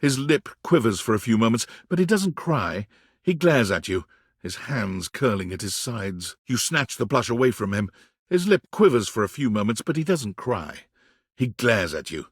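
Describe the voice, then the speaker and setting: A man playing a wry, florid game narrator who tells the story in an arch, theatrical, deliberate way. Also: medium pitch, husky, no room sound, clean studio sound. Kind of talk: dramatic scripted game narration.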